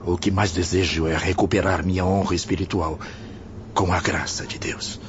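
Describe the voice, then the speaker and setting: A man playing an anguished, low-voiced penitent spirit. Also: flat delivery, moderate pace, close to an everyday voice. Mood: remorseful. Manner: anguished, dramatic delivery. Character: remorseful, fearful male spirit of a suicide. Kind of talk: radio drama acting. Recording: dull lo-fi audio with noise.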